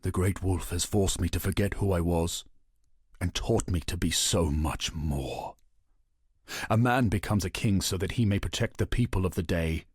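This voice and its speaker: A male actor playing a proud, grim warrior king turned wolf-god in a video game, declaiming solemnly with a gravelly, husky voice. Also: low voice, a little mellow, no room sound, clean studio sound. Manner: solemn, declamatory, deliberate oratory. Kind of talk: dramatic scripted game monologue.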